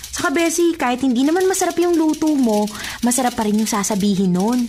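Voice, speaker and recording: Actress for young Filipino female confidante, sceptical and sassy. High-pitched radio drama voice with slight background noise. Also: quick pace, a little mellow, natural voice. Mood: sassy, teasing. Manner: sassy, mock-exasperated. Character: teasing, blunt, sceptical young best friend, female.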